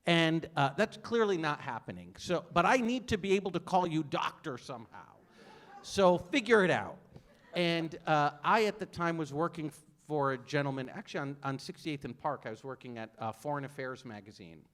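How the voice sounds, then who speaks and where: Self-deprecating man, halting with fillers. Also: on the high side, expressive, a bit bright. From United States, raised in Saudi Arabia. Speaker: guest speaker, academic. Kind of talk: conversation before an audience.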